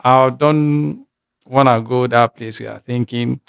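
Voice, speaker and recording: A man with a Nigerian accent hosts a reaction video in a rambling, musing way and often restarts his sentences. His voice sits at an ordinary middle pitch. The sound is dry and close, with no room echo. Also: fairly even intonation, takes it slowly, vocal fry, clear, resonant tone. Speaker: reaction channel host. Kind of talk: casual reaction commentary.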